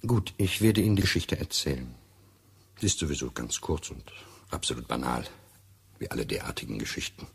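An actor playing a courteous but bitter betrayed doctor in a radio drama, gravelly, formal and emphatic. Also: male voice, a bass voice, sing-song, fairly quick, heavily acted. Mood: bitter, indignant. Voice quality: gravelly, husky. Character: courteous, bitter, self-righteous American doctor, betrayed husband. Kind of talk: German radio drama acting.

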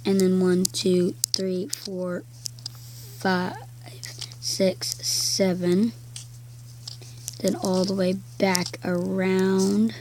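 Woman, croaky voice, unhurried gameplay talk, faint background hiss, counting blocks out loud. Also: mid-range voice, little pitch movement. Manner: slow, counts blocks aloud while building. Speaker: game video creator. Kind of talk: casual gameplay commentary.

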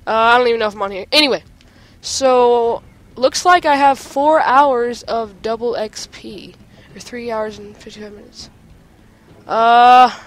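A male gaming host with a stuffy nose from a cold, chatting excitedly while clicking through game menus at home. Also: very high-pitched. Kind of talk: casual game menu walkthrough.

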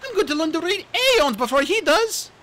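A chirpy, excitable young dragoness from a storybook, pitched far up and bright, as a man puts her on. She talks loud and bold, her voice leaping all over the place with cheeky confidence. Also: normal speed, full character voice. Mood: bubbly. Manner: bubbly, bold and chatty.

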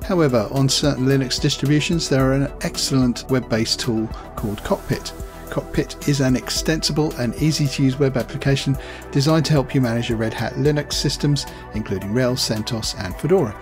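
A man reading a scripted software tutorial voice-over, plain and steady, spelling out commands slowly. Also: medium pitch, over music. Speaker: tech tutorial narrator.

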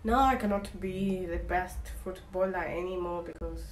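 A woman's voice: lowish voice, normal speed. A woman in her twenties talking to camera in a casual vlog, candid and wry, rambling with frequent restarts. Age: 20s. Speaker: vlogger. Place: small indoor room. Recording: echoey room, faint ambient hiss.

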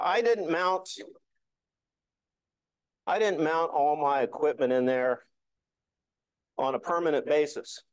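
A man's voice: medium pitch, no room sound. A man presenting an informal slide talk to a hobby club, explaining things in a folksy, joking, hands-on way. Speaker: amateur radio club presenter.

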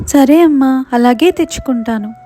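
A woman as a high-voiced innocent little girl. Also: normal speed, mellow, faint music behind, natural voice. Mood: eager, polite. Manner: childlike, eager, polite. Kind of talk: moral story cartoon dialogue.

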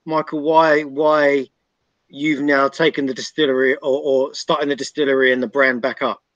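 A man's voice: medium pitch, level tone, normal speed, a bit bright, no room sound, muffled recording. A man in his thirties hosting an online whiskey tasting, asking questions hesitantly with repeated words over a video call. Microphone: laptop webcam on video call.

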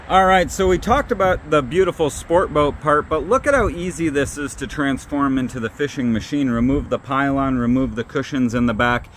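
A man's voice: mid-range voice, a little hiss or hum. A man walking through a boat on a dealership lot outdoors, upbeat and listing features one by one. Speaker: boat salesman giving a walkthrough. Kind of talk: product walkthrough.

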